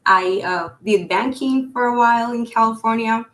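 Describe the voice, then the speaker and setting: A woman from Colombia with a Colombian accent, telling her story as a podcast guest in a chatty way with many filler pauses. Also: on the high side, a bit bright, reverberant, rough recording. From Cali, Colombia. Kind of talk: casual interview storytelling.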